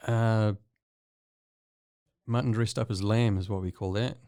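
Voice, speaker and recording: A man from New Zealand with a New Zealand accent, a guest artist chatting casually in an interview, relaxed and hesitant with lots of ums. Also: fairly low pitch, unhurried, smooth, dry recording, studio quality. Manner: relaxed, hesitant with many ums, chuckles often.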